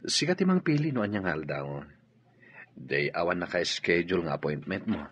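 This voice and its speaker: Expressive romantic leading man. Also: sits low, normal speed, muffled recording, slight background noise. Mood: casual, evasive. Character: smooth romantic young suitor, groom-to-be. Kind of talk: radio drama acting.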